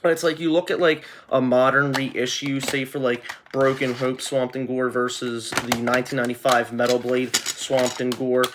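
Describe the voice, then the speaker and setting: A man's voice: mid-range voice, flat delivery, moderate pace, few pauses, background noise. A man giving casual, unscripted commentary as a video host, talking in a rambling, conversational way.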